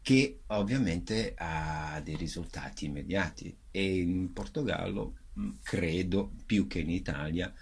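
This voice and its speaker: Very deep, gravelly man, halting speech. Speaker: theatre director and actor, radio guest. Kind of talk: radio interview, explanatory talk.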